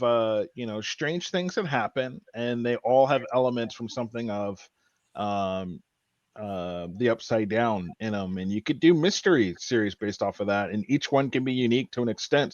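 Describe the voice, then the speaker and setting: A man's voice: mid-range voice, moderate pace, no echo, muffled recording. A man co-hosting a podcast in casual discussion, thinking aloud tentatively. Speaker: podcast co-host.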